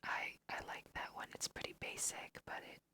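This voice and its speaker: A woman's voice: moderate pace, pushed and bright. Whispering woman, ASMR gaming host. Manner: casual, musing, addresses viewers. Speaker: let's play host. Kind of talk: whispered ASMR game commentary.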